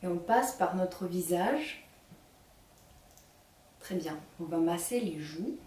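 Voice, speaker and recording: A young woman with a Ukrainian accent leading a children's singing workshop, speaking slowly and clearly as she gives instructions. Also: on the low side, animated, dark tone. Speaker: singing teacher. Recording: echoey room, mic at a distance.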